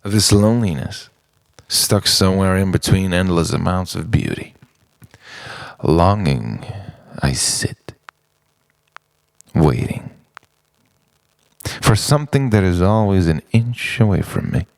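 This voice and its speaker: Low-voiced man, theatrical spoken-word delivery. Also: expressive, slow, gravelly, pauses often, no echo. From Europe. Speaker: writer-performer reciting his own monologue. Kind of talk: dramatic spoken-word monologue, confessional.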